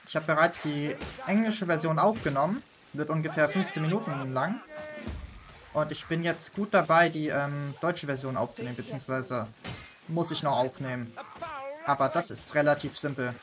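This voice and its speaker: A chatty German man talks through a co-op game round, voice sitting a little high for a man. He laughs easily and drops quick asides while he plays. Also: over music. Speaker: gaming channel host. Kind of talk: casual gameplay chat.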